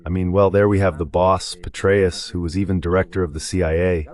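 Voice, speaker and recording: A man's voice: deep voice, flat delivery, brisk, darkish tone. A man voicing a political guest's commentary in translation.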